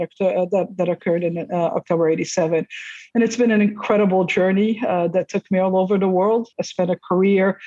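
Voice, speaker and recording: A woman's voice: mid-range voice, mellow, dry recording, muffled recording. A middle-aged woman from Lebanon, a finance executive answering interview questions casually, with many fillers and restarts. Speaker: finance executive, podcast guest.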